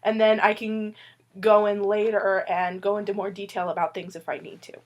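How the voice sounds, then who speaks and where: A woman's voice: medium pitch, flat delivery, moderate pace, darkish tone, a little hiss or hum. Sick, rambling woman artist. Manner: loopy, rambling, self-mocking. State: sick with a cough. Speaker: webcomic artist sharing tips. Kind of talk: casual tutorial talk to viewers. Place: indoors at a wooden table.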